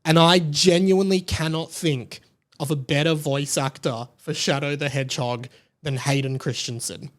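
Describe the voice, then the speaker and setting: A man's voice: fairly high pitch, fairly even intonation, normal speed. An Australian man hosting a podcast, giving casual, opinionated commentary in an emphatic, conversational way. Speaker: podcast host. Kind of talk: casual solo pop-culture commentary.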